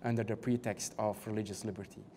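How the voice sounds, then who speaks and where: A man with a European accent, a canon law professor speaking on a conference panel in a measured way, with many fillers and rhetorical questions. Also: on the low side, level tone, dark tone, a little hiss or hum.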